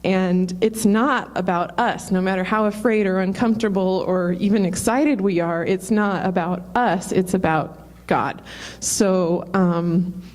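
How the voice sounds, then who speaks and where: A woman's voice: fairly low pitch, steady tone, unhurried, hardly any pauses, darkish tone. A middle-aged woman sharing an earnest personal testimony, laughing often. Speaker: church member sharing testimony.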